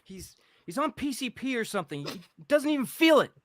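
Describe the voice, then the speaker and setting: A woman's voice: fairly high pitch, big pitch swings, a little fast. A woman playing a grim, resolute survivor of a killer in a live table read of a horror script. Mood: alarmed.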